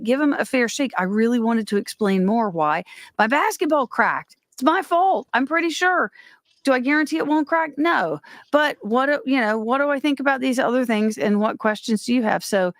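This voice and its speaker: A woman with a fairly high voice hosts a casual livestream. She rambles and interrupts herself, and the sound is dry and close. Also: dry recording. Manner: rambling, self-interrupting, easily sidetracked. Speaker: livestream host.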